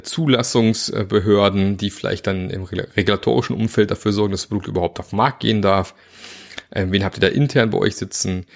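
A man's voice: on the low side, gravelly. A man with a cold giving a casual, chatty lesson on team practices, full of fillers and asides. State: has a cold, sore throat. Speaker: agile coach teaching teams. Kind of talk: informal spoken lesson.

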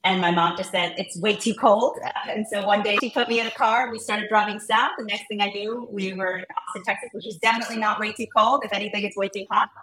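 A woman's voice: medium pitch, fairly even intonation, quick pace, hardly any pauses, a bit bright. A woman from Ghana in her thirties, a physician turned founder, chatting as a guest with many ums and restarts. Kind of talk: casual interview conversation. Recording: roomy echo.

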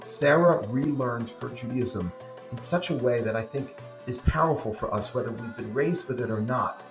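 A man's voice: medium pitch, roomy sound. A man hosting a podcast interview, welcoming his guest with enthusiasm and restarting his sentences now and then. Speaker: podcast host, rabbi.

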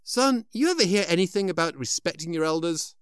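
An actor playing a sour, confused old man in an audio drama, with a halting, stammering delivery. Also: high voice, lively intonation, clear, resonant tone, no echo, studio quality. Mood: grumpy.

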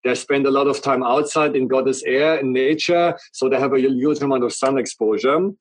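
A man's voice: mid-range voice, moderate pace, no echo. Man with a strong German accent. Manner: earnest, explains in long run-on sentences. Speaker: guest, company representative. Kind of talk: explanatory interview talk.

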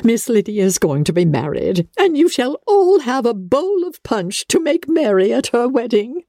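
A woman voicing a giddy, excitable middle-aged mother in a classic-novel audiobook, gushing with fussy, fluttery exclamations. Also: a little high, moderate pace, dry recording, clean studio sound, pitched above the performer's own voice. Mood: excited.

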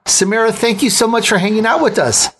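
A male interview host with a slightly high voice who talks in an effusive, upbeat way. He heaps praise on his guests and sounds glad to have them on the show. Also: fast talker, a bit bright, husky. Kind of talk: upbeat podcast interview hosting.

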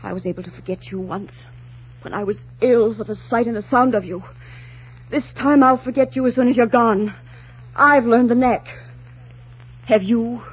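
A muffled 1940s radio recording of a proud young noblewoman. Her voice is very husky and breathy, her delivery haughty, sharp-tongued and dramatic. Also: a little high, moderate pace, pauses often. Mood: icy, defiant.

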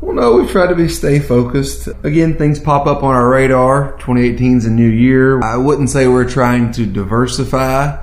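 A man's voice: medium pitch, moderate pace, few pauses. A man co-hosting a podcast in rambling casual chat, often stammering as he restarts his sentences. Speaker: podcast co-host. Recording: roomy echo.

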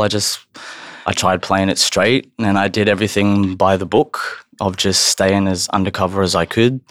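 A man's voice: sits low, a bit bright, no echo, studio quality. A man chatting candidly and informally as an interview guest, in blunt casual language.

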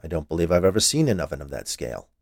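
A man's voice: rumbling low, big pitch swings, racing, vocal fry, no echo, clean studio sound, pitched below the performer's own voice, heavily acted. A man voicing a small, fussy and stern male health inspector in an audiobook, curt and grumbling. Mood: curt, irritated. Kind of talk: audiobook character voice.

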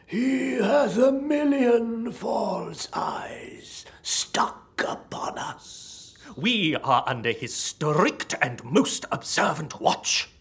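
An actor playing a frightened, theatrically tragic stage performer, declaiming melodramatically with a breathy delivery in an audio comedy. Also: mid-range voice, lively intonation, very slow, long pauses, a bit bright, exaggerated voice. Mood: terrified. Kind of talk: audio comedy drama acting.

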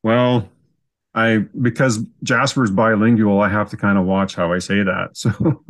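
Low-pitched Canadian man, easygoing storyteller. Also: steady tone, unhurried. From Canada, southern Saskatchewan. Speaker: podcast guest. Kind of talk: casual storytelling in an interview.

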